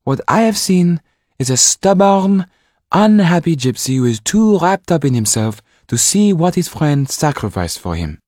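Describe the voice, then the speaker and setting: A man's voice: fairly high pitch, sing-song, a little slow, no room sound, clean studio sound, pitched above the performer's own voice. Man doing a stern caring lady guardian. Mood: firm. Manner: firm, then hushed and gentle. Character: stern caring middle-aged woman guardian.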